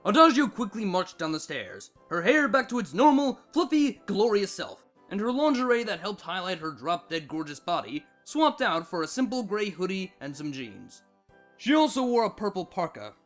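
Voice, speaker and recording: A narrator reading fan fiction aloud in an expressive scripted storytelling style. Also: mid-range voice, moderate pace, faint music behind.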